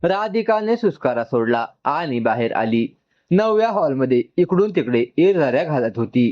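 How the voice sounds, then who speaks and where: Dull-sounding man narrating with animated pitch. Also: moderate pace. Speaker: story narrator. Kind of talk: dramatic scripted story narration.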